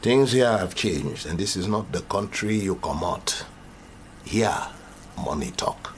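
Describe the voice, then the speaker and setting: An actor playing a wily, menacing Nigerian fixer in a radio drama, speaking theatrically with a Nigerian accent and pidgin turns of phrase. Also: male voice, low voice, expressive, a little slow, slight background noise. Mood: sly. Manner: pidgin English, theatrical, sly and taunting. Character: wily, menacing Nigerian male fixer who acts as the law.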